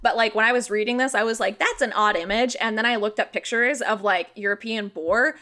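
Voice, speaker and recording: A woman's voice: a little high, fairly quick. An American woman chatting informally as a podcast guest, telling stories and explaining things in a loose conversational way. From rural Missouri, United States. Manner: informal, animated, frequent "like", sweary. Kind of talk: casual conversational storytelling and explaining.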